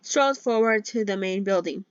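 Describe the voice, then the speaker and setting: A woman's voice: mid-range voice, normal speed, clean tone, no room sound. Chatty woman reading aloud, dull dry mic. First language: not English. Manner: stumbles over words and restarts, chatty. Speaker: channel host reading a novel aloud. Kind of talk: casual read-along with chatty asides.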